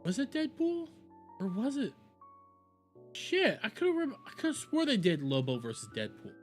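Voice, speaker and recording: A man's voice: very high voice, huge pitch swings, normal speed, faint music behind. A man doing casual live-stream commentary, rambling, repeating himself and swearing freely. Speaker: streamer reacting to videos.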